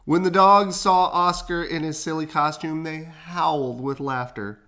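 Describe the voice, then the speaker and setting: A woman reading a children's storybook aloud expressively, with dramatic pauses and casual asides. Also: sits low, fairly even intonation, fairly bright tone. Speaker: storybook reader. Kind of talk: animated children's read-aloud with casual chat.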